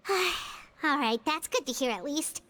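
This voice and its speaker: A woman's voice: high-pitched, animated, slow, sharp tone, slight background noise, full character voice. A woman voicing a small chatty fairy-like companion in a video game, who talks about herself in the third person. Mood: worried. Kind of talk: video game cutscene dialogue.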